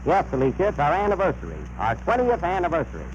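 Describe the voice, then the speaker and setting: On a noisy old radio recording, a grumbling country father in a comedy skit. The voice is fairly high and lively in pitch, with a dry, folksy, exasperated way of talking. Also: quick pace, highs cut off. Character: grumbling old rural father of grown daughter. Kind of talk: 1930s radio comedy acting.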